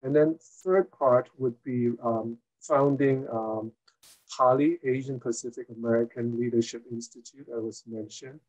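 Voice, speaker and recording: Slow, measured older man. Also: mid-range voice, fairly even intonation, a little mellow, rough recording. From Hong Kong. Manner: measured, with frequent "uh" pauses. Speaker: guest, former mayor and retired college teacher. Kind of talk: interview answer, recounting his career.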